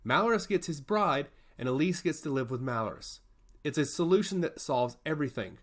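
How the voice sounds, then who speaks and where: A man voicing a quick-witted, firm woman in a fantasy story, wry and persuasive. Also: fairly high pitch, expressive, fairly bright tone. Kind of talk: fantasy audio story dialogue.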